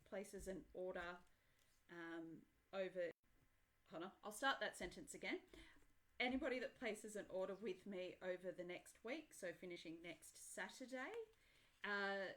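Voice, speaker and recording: A woman's voice: lowish voice, a bit bright, low-quality recording. A woman guest demonstrating papercrafts on a live online show, chatty and easygoing, thinking aloud as she works. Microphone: headphones in, voice comes out soft.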